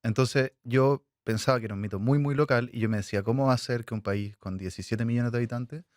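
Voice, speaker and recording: A man, a filmmaker, answering interview questions in a halting way, with many fillers and restarts. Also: medium pitch, darkish tone, no room sound, clean studio sound. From Chile. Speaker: filmmaker guest.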